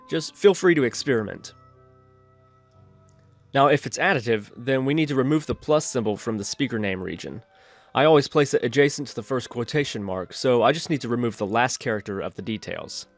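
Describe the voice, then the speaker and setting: A man's voice: mid-range voice, animated, brisk. A man narrating an instructional screencast as a tutorial host, explaining methodically step by step. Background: background music.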